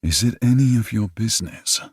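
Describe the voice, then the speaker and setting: A man voicing a calm, wry British single dad, with a light British accent and a slightly raised voice. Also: fairly low pitch, brisk, husky, dry recording, studio quality, pitched above the performer's own voice. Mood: guarded.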